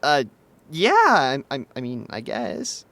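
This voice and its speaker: A man's voice: medium pitch, wildly swooping pitch, takes it slowly, fairly bright tone, exaggerated voice. An actor playing a flippant, bemused man in his twenties in an audio comedy, wry and incredulous. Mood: confused, awkward. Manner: wry, incredulous, hesitant when challenged. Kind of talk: audio drama comedy acting.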